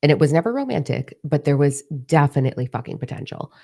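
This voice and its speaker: Hoarse woman, candid and chatty. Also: sits low, sing-song, fast talker, warm tone. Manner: candid, swears freely, chatty and confiding. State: hoarse, partly lost voice. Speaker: spiritual teacher. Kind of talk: casual spiritual talk to followers.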